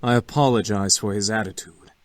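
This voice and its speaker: A man's voice: lowish voice, steady tone, brisk, natural voice. An actor playing a stern but courteous young male incubus in a visual novel game, speaking in a polite, formal, commanding way.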